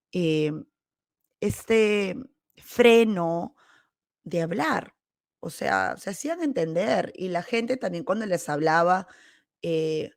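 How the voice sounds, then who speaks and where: A Peruvian woman with a Peruvian accent hosting a podcast, telling personal stories in a casual, candid and animated way. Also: on the low side, dry recording, clean studio sound. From Peru. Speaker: podcast host. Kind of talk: casual autobiographical storytelling monologue.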